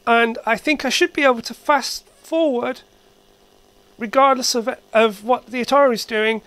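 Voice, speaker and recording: A woman narrating a hands-on repair demonstration casually, thinking aloud in short, halting phrases. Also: fairly high pitch, a bit bright, no echo. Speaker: hobbyist repair video maker. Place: indoor workshop at a workbench.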